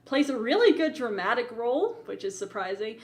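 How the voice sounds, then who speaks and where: A woman's voice: fairly high pitch, big pitch swings, fairly quick. A talkative, opinionated woman co-hosting a podcast, giving informal film commentary in casual conversation. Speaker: podcast co-host reviewing films. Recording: echoey, roomy sound.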